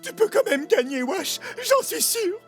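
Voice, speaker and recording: A performer playing an eager, loyal male wild boar in a children's cartoon, excitable and encouraging. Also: very high voice, measured pace, full character voice. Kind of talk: French children's cartoon voice acting. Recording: cartoon music under the voice.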